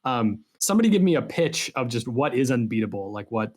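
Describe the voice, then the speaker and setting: A man's voice: mid-range voice, sing-song, a little fast, darkish tone. A man hosting a podcast, asking questions in a casual interview style with hesitant, repeated phrasing.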